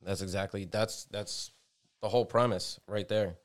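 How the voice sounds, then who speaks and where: A low-pitched American man in a casual podcast chat, quick to chime in with short agreements. He lets a curse slip now and then and sounds plainly conversational. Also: steady tone. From United States. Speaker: podcast co-host.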